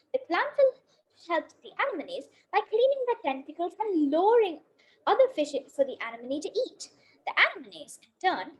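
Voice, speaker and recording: A female reader with a very high, small-sounding pitch reads a children's book aloud. Her melody is animated and varied. She goes carefully through the text, with an occasional slip. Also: poor audio. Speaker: story reader.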